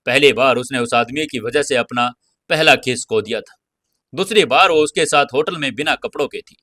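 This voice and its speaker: A man's voice: medium pitch, moderate pace, bright, forceful tone, dry recording, studio quality. A man narrating an audio serial in a dramatic, scripted storytelling style.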